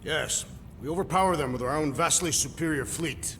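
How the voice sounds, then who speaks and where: An actor playing a solemn, literal-minded alien warrior who speaks in formal phrases in a video game. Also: male voice, on the high side, normal speed, a bit bright, long reverb tail, slight background noise. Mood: earnest. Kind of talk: cinematic video game acting.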